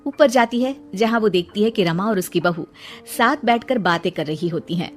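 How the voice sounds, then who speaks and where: A woman's voice: medium pitch, lively intonation, moderate pace, faint music behind. A woman narrator reading a scripted story in a dramatic storytelling style.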